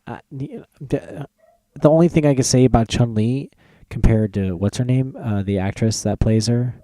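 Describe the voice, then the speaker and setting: A man's voice: mid-range voice, normal speed, dark tone, no echo. A young man hosting a podcast, chatting informally and breaking into exclamations as he talks. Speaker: podcast host. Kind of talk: casual podcast chat.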